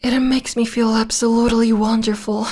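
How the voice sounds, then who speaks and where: A woman playing a possessive, obsessed young vampire lover in an ASMR romantic roleplay, speaking softly and intimately, often in a breathy whisper. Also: a little high, little pitch movement, close to an everyday voice. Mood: possessive, tender. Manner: slow, intimate, sweetly controlling.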